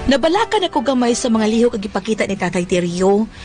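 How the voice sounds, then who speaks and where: A woman's voice: a little high, sing-song, fast, over loud music. A woman playing a worried, loving but exasperated daughter in a comic Bisaya radio drama, emotional and whining.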